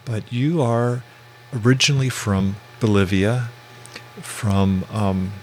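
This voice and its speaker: Hesitant low-voiced male podcast host. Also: slow pace, darkish tone, a little hiss or hum. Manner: hesitant, many fillers and restarts. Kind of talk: casual interview.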